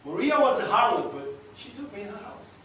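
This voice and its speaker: A man acting a passionate, boastful, unrepentant young womanizer in a theatrical stage monologue, swinging between hushed murmurs and loud declarations. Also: extremely high pitch, big pitch swings, a little fast, full character voice. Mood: boastful, nostalgic. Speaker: actor. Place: echoey indoor room, recorded from a distance. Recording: echoey room, distant mic, faint noise.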